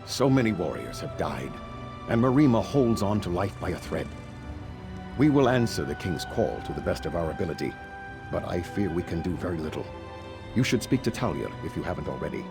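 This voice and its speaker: An actor playing a stern, weary and loyal guard of a desert tribe in a video game. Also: male voice, sits low, big pitch swings, fairly quick, gravelly, over music, full character voice. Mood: grim, resigned. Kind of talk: video game character dialogue.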